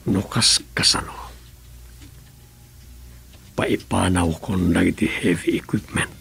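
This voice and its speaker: Low-voiced, grave governor. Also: male voice, creaky, a bit bright, full character voice. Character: grave, watchful male governor under threat. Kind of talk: radio drama acting.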